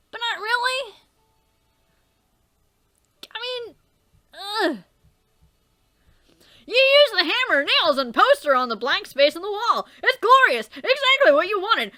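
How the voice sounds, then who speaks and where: High, bright, animated young woman. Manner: theatrical reading, swears and trails off. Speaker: let's play host. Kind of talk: reading a webcomic aloud with commentary.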